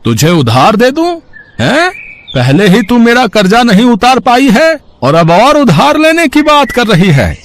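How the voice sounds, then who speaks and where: A man's voice: very high voice, huge pitch swings, unhurried, fairly bright tone, pitched above the performer's own voice, heavily acted. A man voicing a stingy, scornful village grocer who scolds dismissively in a moral story cartoon.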